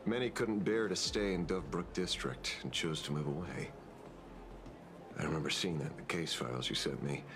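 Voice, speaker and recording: A man's voice: very deep voice, moderate pace, noisy background. An actor playing a self-assured male private detective in a video game, theatrical, musing and playful. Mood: somber. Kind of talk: dramatic video game dialogue.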